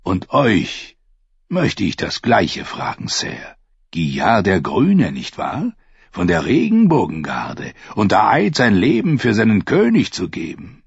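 A man voicing a scornful, defiant old knight in a slow, husky, mocking tone. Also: on the low side, wildly swooping pitch, dry recording, muffled recording, heavily acted. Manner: contemptuous, mocking.

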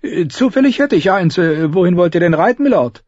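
A man's voice: sits high, expressive, fairly quick, a little mellow, no echo, muffled recording, voice pushed up. A man voicing a hesitant, cheeky village innkeeper in an audiobook. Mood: casually servile. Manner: hesitant, starts with "äh". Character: insolent, hesitant village innkeeper offering a horse. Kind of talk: audiobook character voice.

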